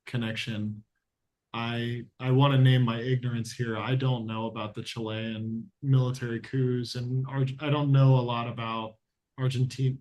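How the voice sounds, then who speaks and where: This is an earnest man hosting an interview podcast. He reads his guest's introduction and then talks at a relaxed, conversational pace, restarting his sentences as he searches for words. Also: mid-range voice. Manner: earnest, hesitant, with frequent restarts. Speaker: podcast host. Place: lives in a Latin American country.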